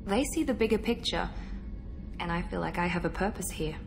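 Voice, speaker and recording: A self-assured female operative in a sci-fi game, her voice on the low side. She speaks in measured, articulate phrases, proud and guarded, with music playing behind her. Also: vocal fry, rough recording. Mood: guarded, reflective. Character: guarded, proud, genetically engineered woman operative. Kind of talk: video game dialogue acting. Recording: background music under the voice.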